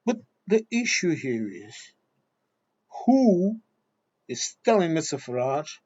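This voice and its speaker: A man's voice: fairly high pitch, big pitch swings, slow pace, a little mellow, breathy edge, no room sound, lo-fi sound. A man with a Portuguese accent, Portuguese his first language, delivering a blunt, combative monologue straight at his viewers. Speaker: video commentator. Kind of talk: ranting monologue.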